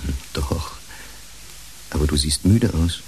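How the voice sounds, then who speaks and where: An actor in a German radio play playing a weary, earnest psychiatrist with a husky voice. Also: male voice, a bass voice, animated, slow, slight background noise, full character voice, rough recording. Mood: concerned, reproving. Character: weary, earnest male psychiatrist, sad and dutiful. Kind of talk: German radio drama acting.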